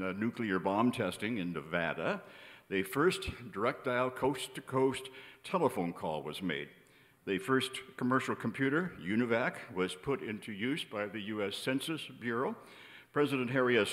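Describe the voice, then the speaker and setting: An American man in his seventies announces from a theater stage with a lively, swinging intonation. He reads from notes in a measured, emphatic way, and his voice rings with the long reverb of a big hall. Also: mid-range voice. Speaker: announcer introducing a stage show. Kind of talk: prepared stage introduction read from notes. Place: on stage in a large indoor theater. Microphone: vintage-style microphone on a stand.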